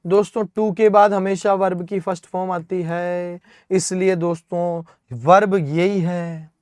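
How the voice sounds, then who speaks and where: A man's voice: high-pitched, steady tone, unhurried, darkish tone, clean tone, no echo, clean studio sound. A man with an Indian accent teaching English vocabulary for an exam, spelling words out letter by letter and often checking in with "है ना?". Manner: explains step by step, spells words letter by letter, keeps asking "है ना?". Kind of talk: exam-prep vocabulary lesson.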